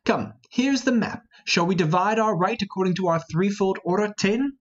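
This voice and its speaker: Man reading as a grandiose, husky mystic lord. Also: sits high, moderate pace, muffled recording. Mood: proud. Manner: grandiose, declamatory. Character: proud, boastful Welsh lord and mystic. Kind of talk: amateur Shakespearean play reading.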